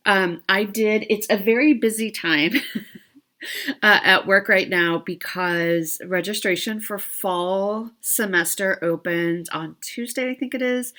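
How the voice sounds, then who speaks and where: A woman's voice: medium pitch, fairly bright tone. A middle-aged woman chatting casually to viewers of her cross stitch vlog, a little stuffy from allergies, rambling with fillers and small laughs.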